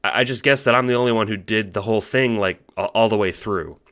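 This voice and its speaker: A timid young alien troll, played by a man, speaks in a bright, forward voice. He stammers and second-guesses himself as he goes, anxious to please, in the manner of an audio drama. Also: on the low side. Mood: nervous, apologetic. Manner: halting, stammering, self-doubting. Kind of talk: audio drama monologue and dialogue.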